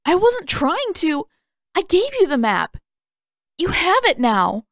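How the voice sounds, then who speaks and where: A woman's voice: very high-pitched, huge pitch swings, slow, fairly bright tone, no echo, clean studio sound, voice pushed up, heavily acted. A woman voicing an apologetic, timid young boy with a small, wobbly voice in an audiobook story. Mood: pleading, upset. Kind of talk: audiobook character acting.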